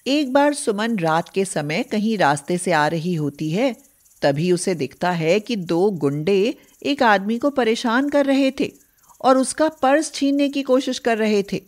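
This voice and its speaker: A woman narrates a scripted moral tale for a cartoon. She goes at a slow pace in a mid-pitched voice. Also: expressive, darkish tone. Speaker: story narrator.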